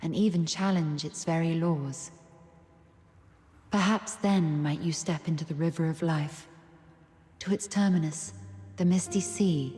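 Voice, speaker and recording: An actress voicing a serene, wise woman guide in a video game, speaking in a gentle, measured, philosophical manner. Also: deep voice. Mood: solemn, mystical. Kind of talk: solemn video game dramatic monologue. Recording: echoey long reverb, background music.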